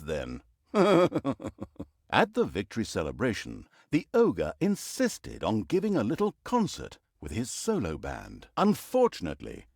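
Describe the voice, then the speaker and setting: A man's voice: medium pitch, a little mellow, no room sound, full character voice. Casting: male narrator for a comic fantasy game, sardonic and mock-grand, hugely swooping intonation, dry close studio sound. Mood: sly, mock-grand. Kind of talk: scripted comic storybook narration.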